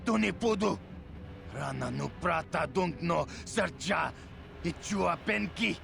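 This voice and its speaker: A man voicing an argumentative alien gang member with a gravelly voice, speaking an invented guttural tongue in a video game. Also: on the high side, lively intonation, a little slow, over music, heavily acted. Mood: grudging, argumentative.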